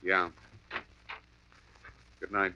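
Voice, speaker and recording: A man's voice: sits low, monotone, very slow, noisy background. An actor playing a wisecracking, breezy male private detective in a 1950s radio drama. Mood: firm. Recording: dull lo-fi 1950s radio broadcast.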